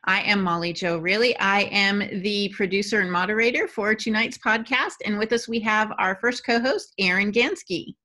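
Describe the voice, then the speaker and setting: Bright, forceful woman hosting a podcast. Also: medium pitch, moderate pace, few pauses. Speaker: podcast producer and moderator. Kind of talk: scripted podcast intro and banter.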